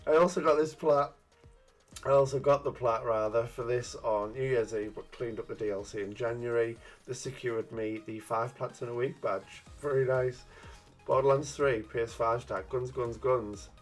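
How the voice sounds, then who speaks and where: A man's voice: mid-range voice, steady tone, moderate pace, faint music behind. A man hosting a casual gaming review chat, cheeky and jokey, often laughing at his own innuendo. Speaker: gaming channel host.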